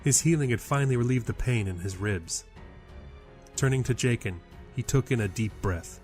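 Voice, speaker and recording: A male storyteller reads dramatic fantasy narration. His pitch is medium, and music plays underneath. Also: normal speed, darkish tone.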